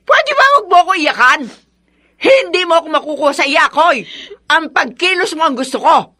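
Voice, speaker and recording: A woman's voice: sits high, animated, moderate pace. An actress playing a harsh, domineering older mother-in-law in a Filipino radio drama, scolding and bossy. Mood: angry, scolding. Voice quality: sharp, piercing.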